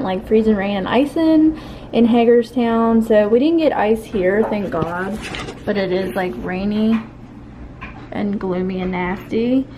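A young woman filming a casual vlog at home, chatting and rambling with fillers and soft laughs, camera held close to her face. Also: medium pitch, dull audio, background noise. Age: young adult. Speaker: vlogger. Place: living room at home. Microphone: handheld camera close to face.

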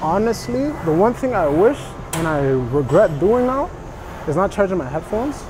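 A man's voice: high voice, big pitch swings, normal speed. A young man vlogging casually to camera in a large commercial gym, chatty and laid-back. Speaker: fitness vlogger. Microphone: camera mic from a few meters off. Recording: noisy gym background. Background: gym noise and clanking weights.

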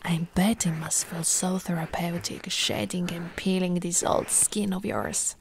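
Woman acting as snake-woman girlfriend, unhurried, doting, playful, soft and whispery, ASMR roleplay. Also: fairly low pitch, steady tone, gravelly, a little hiss or hum. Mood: soothing, tender. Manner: soft, cooing, often dropping into whispers. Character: affectionate teasing lamia girlfriend, doting and playful.

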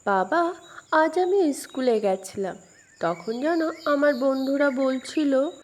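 A woman's voice: sits high, expressive, takes it slowly, a little hiss or hum. A woman voicing an innocent, curious young schoolboy in a cartoon story, earnest and questioning. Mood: earnest, wondering.

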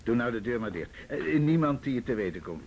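An actor playing a genial, teasing older police colleague in a Dutch radio drama. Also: male voice, mid-range voice, very animated, fairly quick, darkish tone, slight background noise.

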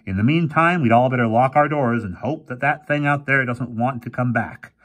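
A man voicing a gruff elderly professor, a crusty, grumbling older man with a husky voice who turns theatrical when he scolds himself. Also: medium pitch, normal speed, a bit bright, pitched above the performer's own voice, close to an everyday voice. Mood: determined.

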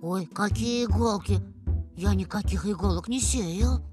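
A woman voicing a kind, innocent young hedgehog in an animated cartoon. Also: medium pitch, unhurried, natural voice. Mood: bewildered. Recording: music behind the voice.

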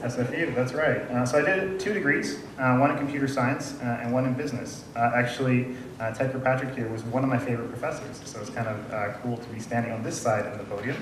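A man's voice: mid-range voice, fairly even intonation, quick pace. A man in his thirties giving a casual conference talk, chatty and friendly, full of ums and uhs, often polling the audience. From Canada. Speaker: conference speaker. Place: large dim conference room. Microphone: room mic from far off. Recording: echoey room audio from far off, noisy.

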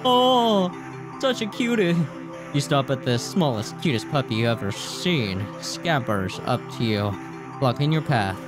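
A man's voice: mid-range voice, big pitch swings, measured pace, rough recording. Guy in his 20s doing a lets play, reads the story out and chats, trips on words a bit, music playing behind him. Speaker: video game streamer. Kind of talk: let's play, reading game text aloud with casual reactions. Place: small room indoors at a gaming setup. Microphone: close desk microphone, headset worn. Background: game music.

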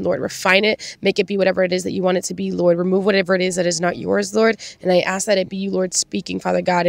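A woman's voice: medium pitch, vocal fry, no echo. A young woman with a congested voice hosting a faith vlog outdoors in a park, chatting, laughing and praying earnestly into a small handheld mic. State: congested from sinus infection. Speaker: faith vlogger and host. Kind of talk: casual devotional talk and prayer. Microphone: handheld clip-on mic held near mouth.

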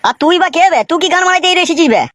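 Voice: very high-pitched, racing, fairly bright tone, dry recording, studio quality. A voice actor playing a foul-mouthed male henchman in a cartoon, crude and mocking. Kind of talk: cartoon voice acting.

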